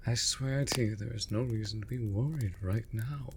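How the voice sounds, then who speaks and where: An actor playing a mage's raven familiar in a fantasy audio drama, theatrical and formal, scolding but caring. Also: male voice, lowish voice, normal speed, vocal fry, slight background noise. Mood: earnest, frustrated concern. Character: male raven familiar of a storm mage, exasperated but devoted. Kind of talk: scripted fantasy audio roleplay.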